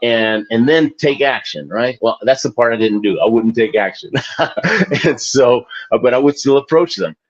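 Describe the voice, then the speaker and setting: A man's voice: medium pitch, normal speed, muffled recording. A man in his forties, a guest in an interview, talking casually in a rambling, self-deprecating way and laughing often. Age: 40s. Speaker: guest, Olympic athlete and author.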